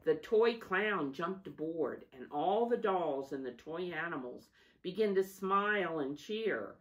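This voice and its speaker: Expressive, slow-paced woman reading to children. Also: on the low side, lo-fi sound. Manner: expressive, asking listeners questions. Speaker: storybook reader. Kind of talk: read-aloud children's story with asides to young listeners.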